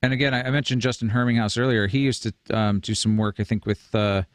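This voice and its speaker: A man's voice: mid-range voice, fairly even intonation, fast, no echo. A man in his forties moderating a webinar in a conversational way, speaking into a close studio microphone. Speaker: host and moderator. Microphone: close broadcast mic on a boom arm.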